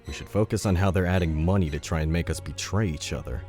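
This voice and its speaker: A man's voice: low-pitched, quick pace, a little mellow, lightly acted. A man playing a huge, level-headed teammate with a dry, blunt way of talking. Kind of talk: audio fiction acting. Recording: music bed behind the voice.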